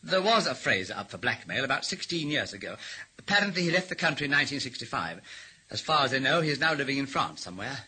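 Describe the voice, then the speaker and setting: An actor in a radio drama playing a middle-aged newspaperman, guilt-ridden and halting, cracking under pressure. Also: medium pitch, big pitch swings, sharp tone, breathy edge, heavily acted, rough recording. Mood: troubled. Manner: halting, repetitive, overwrought. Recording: hissy old radio broadcast recording.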